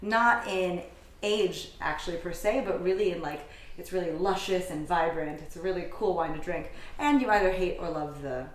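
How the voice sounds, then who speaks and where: Young woman from the US, upbeat and enthusiastic, slightly low voice, very echoey room. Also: moderate pace. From United States. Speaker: guest. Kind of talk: casual interview.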